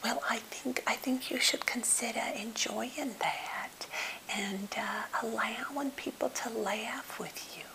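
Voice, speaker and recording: A woman playing an anxious, well-meaning, slightly odd therapist held captive, in a breathy whisper with a halting, fussily polite manner, for an ASMR role play. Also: on the high side, bright, forceful tone, exaggerated voice. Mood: gently encouraging. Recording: hissy, noisy home recording.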